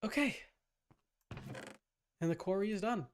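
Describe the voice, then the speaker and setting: A man's voice: high voice, measured pace, a little hiss or hum. A man livestreaming a game with casual, informal commentary, muttering to himself and his chat. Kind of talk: casual live gaming commentary.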